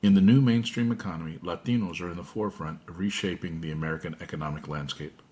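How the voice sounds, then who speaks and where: A man with a very deep voice reads a scripted episode promo. His delivery is smooth and even, as if read straight from a script. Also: fast. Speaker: promo announcer.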